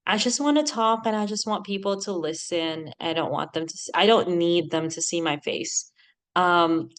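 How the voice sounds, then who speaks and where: A woman in her thirties from the Philippines, with a Filipino accent that leans American, giving animated, chatty podcast advice and laughing often. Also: on the low side. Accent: Filipino, with an American lilt. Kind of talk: casual advice talk.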